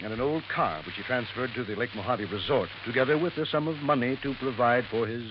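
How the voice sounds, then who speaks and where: A man's voice: medium pitch, fast, natural voice. A man playing a formal, pedantic lawyer who stammers a little at the start of phrases, in 1950s radio drama style. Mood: fussy, formal. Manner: hesitant, formal, pedantic, stammering over first words. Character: fussy, formal male small-town lawyer reading a will. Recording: muffled, hissy vintage radio recording.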